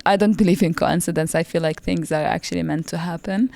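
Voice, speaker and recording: A woman from Palestine whose first language is Arabic, speaking as a playwright guest in a relaxed interview, thoughtful and often repeating words as she searches for them. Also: on the low side, quick pace, gravelly. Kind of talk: casual interview conversation.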